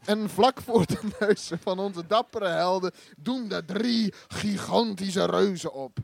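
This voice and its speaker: A man's voice: high-pitched, a little slow, vocal fry, noisy background. A man playing a pompous spaceship captain who grandly narrates his own adventure in a comic sci-fi radio play, theatrical and stumbling over his words. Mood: grandiose. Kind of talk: live improvised sci-fi radio play, mock-heroic narration.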